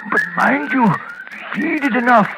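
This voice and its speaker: An actor playing a crafty, dying old backwoods wizard with a cracked voice and halting rustic speech in an old radio horror play. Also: male voice, heavy background noise. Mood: urgent, failing. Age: older. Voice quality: high, creaky, cracked voice. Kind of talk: 1940s radio horror acting. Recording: old 1940s radio broadcast recording.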